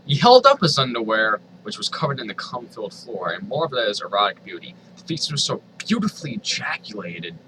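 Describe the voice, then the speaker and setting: A man's voice: on the low side, very animated, fairly quick, hardly any pauses, noisy background. A man reading a story aloud dramatically, with exasperated, sardonic asides. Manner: exasperated, sardonic, swears freely. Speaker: reader of online fan fiction.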